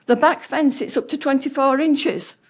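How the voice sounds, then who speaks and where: Tearful high-voiced woman in her seventies. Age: 70s. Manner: halting, breaking off mid-word, nervous laughter. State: distraught, close to tears. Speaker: resident giving public comment. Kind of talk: public comment at a county meeting.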